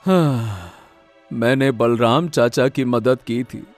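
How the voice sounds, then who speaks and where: An actor playing an earnest, troubled young farmer in a cartoon moral story, melodramatic, halting and pleading. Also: male voice, medium pitch, darkish tone, soft background music, natural voice. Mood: pensive. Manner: halting, pleading, repeating words.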